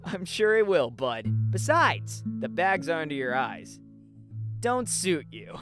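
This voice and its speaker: A woman voicing a caring, protective male cartoon hedgehog, gentle and concerned like a big brother, in a fan drama. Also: deep voice, sing-song, takes it slowly, over music, exaggerated voice. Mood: coaxing.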